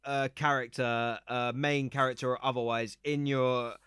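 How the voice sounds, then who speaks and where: A man hosting a casual podcast interview, talking in a relaxed, musing way. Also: medium pitch, moderate pace, smooth, no room sound, clean studio sound. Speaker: podcast host.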